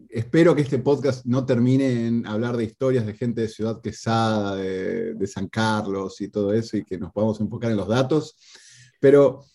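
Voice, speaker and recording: A man's voice: medium pitch, dark tone, slightly rough. A man with a Latin American Spanish accent hosting a podcast in casual interview chat. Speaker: podcast host.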